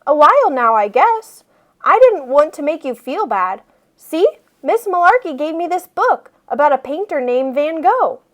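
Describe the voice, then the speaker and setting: A woman's voice: sits high, big pitch swings, unhurried. A woman voicing an easygoing, kind young schoolboy who explains things casually and earnestly.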